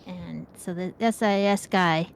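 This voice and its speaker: A woman's voice: lowish voice, steady tone, unhurried, gravelly, slight background noise. A woman co-hosting a gaming show, chatting casually in a playful, rambling way while she plays. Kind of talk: casual chat while playing an online game.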